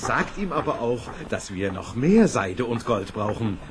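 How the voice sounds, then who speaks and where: An actor playing a sly swindler posing as a weaver, flattering and falsely humble in a fairy-tale audio drama. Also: male voice, mid-range voice, unhurried. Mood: ingratiating. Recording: noisy old cassette.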